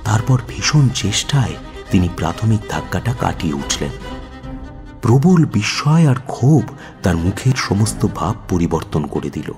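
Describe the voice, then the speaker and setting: Sidekick narrator, fairly low voice, animated swings, suspenseful, radio storytelling, music behind. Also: male voice, normal speed. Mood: dramatic. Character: admiring male companion narrating a detective's adventure. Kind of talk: dramatic first-person storytelling in radio play.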